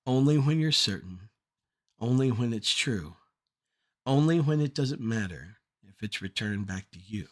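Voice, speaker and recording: A man with an average-pitched voice recites verse as a poet at a read-through. His delivery is earnest and deliberate, with the lines broken into short, measured phrases. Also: lively intonation. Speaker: poet reading own work. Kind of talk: poetry recitation at a draft table read.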